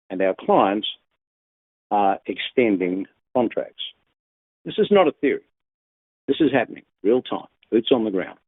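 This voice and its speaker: A man's voice: medium pitch, husky, no echo. A man, a company executive, delivering scripted earnings call remarks in short emphatic phrases over a conference phone line.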